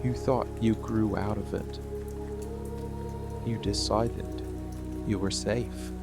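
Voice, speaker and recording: Man acting a gravelly, slow-murmuring monster boyfriend. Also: steady tone. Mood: calm, ominous. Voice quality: low, gravelly, breathy murmur often near a whisper. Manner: slow, deliberate delivery with long pauses. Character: possessive dark monster boyfriend, hidden protective watcher. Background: rain and music.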